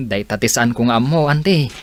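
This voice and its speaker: A man's voice: medium pitch, measured pace, a little hiss or hum, natural voice. A man acting a curious, hesitant buddy and go-between with an Ilocano accent in a radio comedy drama. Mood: explaining, hesitant.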